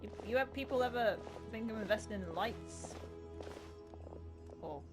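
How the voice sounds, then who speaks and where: A woman's voice: a little high, expressive, measured pace. A woman giving casual let's-play commentary as a gaming streamer, sarcastic and happy to swear. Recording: game music loud behind.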